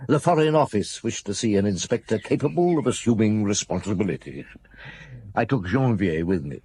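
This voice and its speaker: A seasoned detective chief in a radio play. His voice is low and roughened, with widely moving intonation. He speaks in a wry, understated, musing way. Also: animated, normal speed, darkish tone. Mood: reminiscing. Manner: musing, understated, with hums and short replies. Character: seasoned male Paris police chief inspector, wry and unhurried. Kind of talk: naturalistic radio drama acting.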